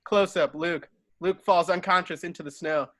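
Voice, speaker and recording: A man's voice: sits high, fairly even intonation, moderate pace, a bit bright, no room sound, clean studio sound. A man reading stage directions as narrator in an amateur table read, now and then stumbling and correcting himself.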